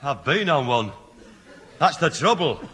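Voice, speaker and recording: A man's voice: on the high side, big pitch swings, a little slow, bright, forceful tone, heavily acted. An actor playing a grumpy, blustering middle-aged man, easily riled, in a radio sitcom. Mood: aggrieved. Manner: blustering, exasperated. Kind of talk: British radio sitcom acting. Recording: echoey hall, old radio recording.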